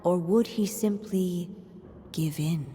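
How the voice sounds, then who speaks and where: A woman narrating a fairy tale in a solemn, measured storytelling voice. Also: lowish voice, mellow. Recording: long reverb with game music.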